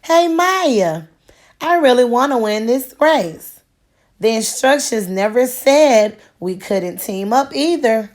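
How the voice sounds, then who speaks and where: A woman's voice: fairly high pitch, lively intonation, takes it slowly, a little mellow. A woman voicing an eager, earnest schoolboy in a children's storybook, with an exclamatory manner. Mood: excited. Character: eager, earnest schoolboy proud of following rules.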